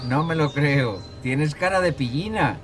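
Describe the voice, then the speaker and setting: An actor with a Castilian accent playing a calm, manipulative young killer in a radio drama, coaxing and falsely reassuring. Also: male voice, a little high, measured pace. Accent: Castilian Spanish. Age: young adult. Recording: music bed under the voice.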